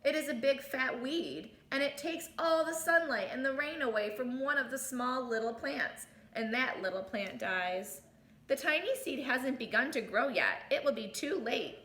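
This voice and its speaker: A woman reading a storybook aloud to children in an expressive teacher's way, adding little asides, in an echoey room. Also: high voice, normal speed, slight background noise. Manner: animated, exclaims and comments on the story.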